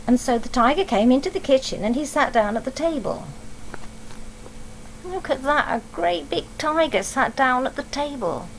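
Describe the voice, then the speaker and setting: High-voiced English woman reading a storybook. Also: normal speed, a little hiss or hum, low-quality recording. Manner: expressive, explaining, asks tag questions. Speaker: teacher reading to children. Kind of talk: storybook read-aloud with asides to young children.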